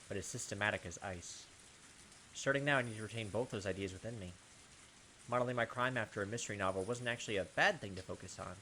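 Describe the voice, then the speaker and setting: A man's voice: medium pitch, fairly bright tone, slight background noise, low-quality recording. A man hosting a game playthrough, reading story narration aloud and breaking off into informal, casual commentary. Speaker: host of a game playthrough channel.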